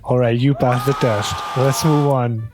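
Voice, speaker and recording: A man's voice: medium pitch, fairly even intonation, unhurried, over music. A man hosting a video, casually presenting and putting questions. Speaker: video host testing an AI with questions.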